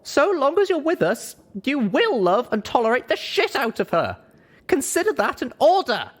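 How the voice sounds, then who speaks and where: A stubborn young pony mare played by a man takes charge in an assertive audiobook delivery. The voice is pitched very high and swings around as it gives orders. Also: wildly swooping pitch, normal speed, full character voice. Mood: commanding. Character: young female pony leader, firm and stubborn.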